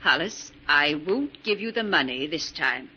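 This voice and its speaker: An actress playing a wealthy, stern but caring older aunt in an old-time radio drama, speaking in a genteel, formal way. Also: female voice, low-pitched, lively intonation, unhurried, pushed and bright, full character voice. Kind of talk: 1940s radio drama acting. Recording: muffled, noisy old radio recording.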